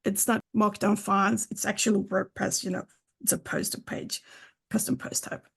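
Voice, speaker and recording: Gravelly-voiced woman podcast guest. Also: mid-range voice, flat delivery, normal speed, fairly bright tone. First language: not English. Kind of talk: casual technical chat.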